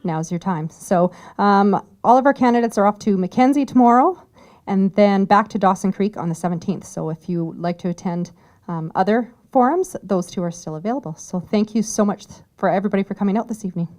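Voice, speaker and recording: A woman's voice: mid-range voice, brisk, a little mellow. A woman moderating a public forum, making friendly, informal closing announcements. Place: community hall.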